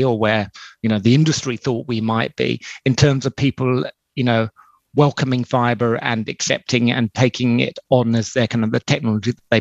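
A middle-aged man with a British accent speaks in a measured, explanatory way, pausing on ums and restarting phrases. His voice has a gravelly fry to it. It comes through a dry, dull video-call connection. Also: medium pitch, no room sound. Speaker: telecom company spokesperson. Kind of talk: explanatory interview answers. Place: small indoor room on a video call. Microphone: headset.

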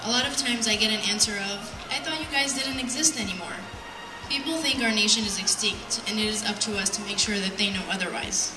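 A young adult woman giving an earnest, measured prepared speech at a podium in an event hall, reading from notes. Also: medium pitch, fairly even intonation. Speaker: speaker at a community event. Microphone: handheld mic on PA, picked up by distant camera. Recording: roomy, distant sound with music.

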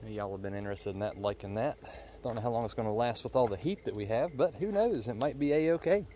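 A man's voice: medium pitch, fast, dark tone. A man giving an informal, folksy farm tour as a farmer, speaking in a matter-of-fact way into a handheld phone. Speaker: farmer and vlogger. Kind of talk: casual farm tour vlog. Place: outdoors on a farm, windy. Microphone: handheld phone, glove over microphone against wind. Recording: windy outdoor handheld phone recording.

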